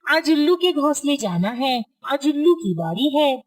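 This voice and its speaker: A woman's voice: high voice, very animated, a little slow. Woman voicing a lazy, scheming cartoon crow dad. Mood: sleepy, lazy.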